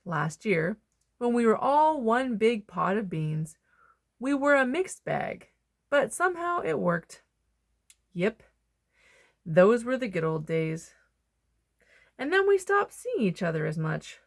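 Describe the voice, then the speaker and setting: A woman reading a children's picture book aloud, expressively, with dramatic pauses and emphasis. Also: on the high side, very animated. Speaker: storybook reader.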